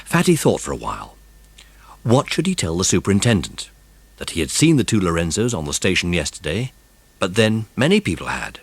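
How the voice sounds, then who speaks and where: A man's voice: low-pitched, wildly swooping pitch, a little fast, breathy edge, no room sound. A man with a British accent narrating a children's audiobook as a scripted, dramatised story.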